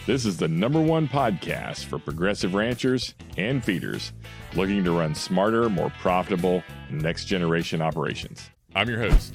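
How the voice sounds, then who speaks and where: A man hosting a podcast, who introduces his guests and asks friendly questions at a brisk pace. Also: low voice. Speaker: podcast host.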